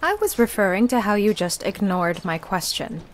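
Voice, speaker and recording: A woman voicing a sharp-tongued young necromancer in a visual novel, skeptical and sardonic. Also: mid-range voice, very animated, moderate pace, a little hiss or hum, lightly acted. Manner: sardonic, probing.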